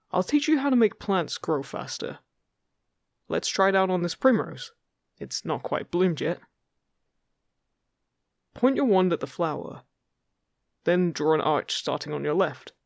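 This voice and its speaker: Man playing a friendly, higher-voiced fairy guide. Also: creaky, no echo, clean studio sound, heavily acted. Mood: encouraging, delighted. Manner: encouraging, gentle, chatty. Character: friendly, upbeat fairy guide teaching magic at a festival. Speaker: voice actor in an audio roleplay. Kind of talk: intimate scripted roleplay addressed to the listener.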